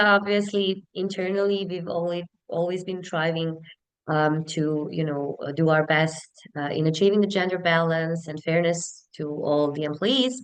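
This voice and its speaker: Female HR professional, 30s, Bosnian accent. Smooth, slightly low voice, conversational and earnest in a webinar interview. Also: low-quality recording. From Bosnia and Herzegovina. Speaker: HR business partner, webinar guest.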